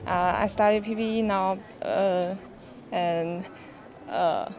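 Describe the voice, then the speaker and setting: An eighteen-year-old woman, an exchange student whose first language is Chinese, speaking English in slow, halting, short phrases. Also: medium pitch, fairly even intonation, a little mellow, lo-fi sound. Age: teens.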